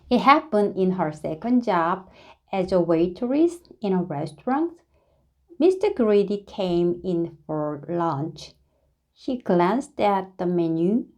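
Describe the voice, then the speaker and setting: A woman reads a storybook aloud to young listeners. Her voice is soft-edged and mellow, with very animated pitch. She takes her time, shaping each phrase deliberately and dramatically. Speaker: storybook reader. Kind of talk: expressive read-aloud of a children's story.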